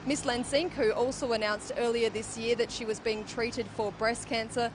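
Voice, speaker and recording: Higher-voiced woman reporting over street noise. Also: fairly even intonation, normal speed. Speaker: news reporter. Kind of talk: news report delivery. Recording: clear outdoor city traffic noise.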